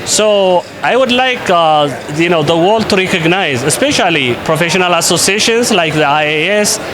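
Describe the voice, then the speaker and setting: A man from Ethiopia, an invited conference speaker, answering interview questions in a measured way with frequent pauses and fillers. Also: high-pitched, lively intonation, crowd noise, rough recording. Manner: measured, with many hesitations and fillers.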